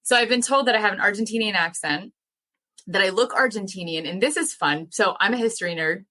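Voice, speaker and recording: A woman's voice: mid-range voice, a little fast, sharp tone. A young woman in a casual podcast interview, talking about her farm and language work in an enthusiastic, chatty way with many fillers. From United States. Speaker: guest, language educator and dairy farmer.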